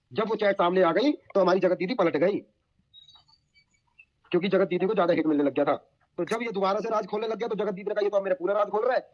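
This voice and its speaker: A man on a dull recorded call, telling gossip informally in an emphatic, colloquial way. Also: high-pitched.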